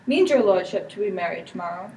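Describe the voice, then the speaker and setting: A woman's voice: mid-range voice, lively intonation, fast, mellow, lightly acted. Girl playing a scheming villain, recorded from afar. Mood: formal, guarded. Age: teenager. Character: scheming, slanderous villain, the prince's brother. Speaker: student performer. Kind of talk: amateur Shakespeare performance. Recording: distant mic with background noise.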